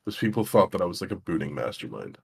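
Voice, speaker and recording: Young man with a deep voice. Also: sing-song, fast talker, gravelly, slightly rough. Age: young adult. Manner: laid-back, laughs often, swears casually. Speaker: interviewer. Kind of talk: casual online chat.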